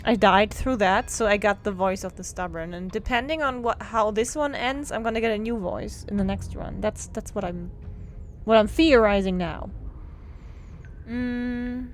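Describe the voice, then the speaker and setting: A woman's voice: mid-range voice, unhurried, long pauses, soft background music. A young woman streaming a game, giggly and wry, thinking aloud. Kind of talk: casual live gameplay commentary.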